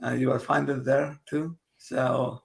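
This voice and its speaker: Slow, gravelly man, native Kurdish speaker. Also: mid-range voice, level tone. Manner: halting, many pauses and fillers. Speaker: guest, translator. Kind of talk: casual interview conversation.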